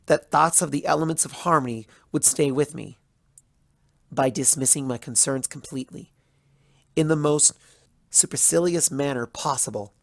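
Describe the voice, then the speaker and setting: A bitter, hurt young pony scholar as a narrator acts her out in a storybook monologue. Her delivery is measured and accusatory, with a creaky edge and a close, dry sound. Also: mid-range voice, no echo, close to an everyday voice. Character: betrayed, bitter young female pony student, intellectual and hurt. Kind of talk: dramatic fan fiction reading as first-person monologue.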